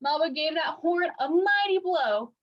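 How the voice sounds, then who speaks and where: A woman reading a picture book aloud for children like a teacher, with expressive, deliberate storytelling. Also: high voice, dull audio.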